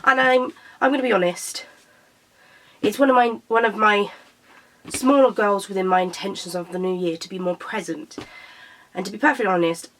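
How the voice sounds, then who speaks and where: A young woman from Britain, a little croaky after being sick, chatting casually to camera about books and tripping over her words. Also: mid-range voice, fairly even intonation, normal speed, fairly bright tone, poor audio. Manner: chatty, self-correcting, stumbles over titles. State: slightly croaky from illness. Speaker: book vlogger.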